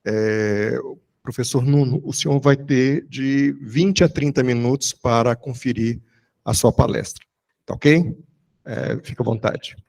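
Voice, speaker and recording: A man's voice: medium pitch, measured pace, vocal fry, a little mellow. A man with a Brazilian Portuguese accent speaking formally as the moderator of an academic panel.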